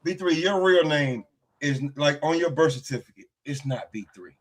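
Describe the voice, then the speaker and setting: A man's voice: a little high, expressive, moderate pace, slight background noise. A man in his forties co-hosting a boxing stream, rambling and joking in casual banter. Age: 40s. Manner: joking, rambling, calls others bro. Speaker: stream co-host.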